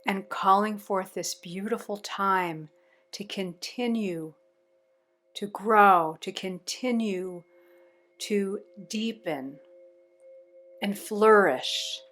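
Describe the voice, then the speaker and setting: A woman guides a meditation at an extremely slow pace, leaving space between short, measured phrases. Her voice sits at a middle pitch for a woman. Resonant singing bowl tones play behind her. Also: fairly bright tone, faint music behind.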